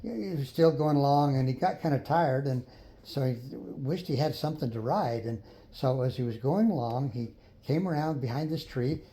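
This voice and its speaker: An older man improvising a children's story in a folksy, unhurried way, pausing for others to join in. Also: mid-range voice, dark tone, poor audio. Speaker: grandfather storyteller.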